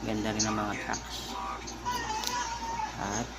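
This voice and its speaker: A Filipino man giving a casual show-and-tell as a vlogger, speaking in short halting phrases and mixing in English. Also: lowish voice, monotone, over loud music. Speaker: vlogger, record collector. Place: at home. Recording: very noisy home recording.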